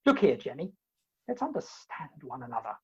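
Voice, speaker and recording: A woman playing a bumbling, lovesick Victorian gentleman in a comic play, with an English accent and blustering, theatrical delivery, recorded at home over a video call. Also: rumbling low, big pitch swings, a little fast, slightly rough, dull audio, full character voice. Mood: suave, put out. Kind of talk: comic stage-play acting.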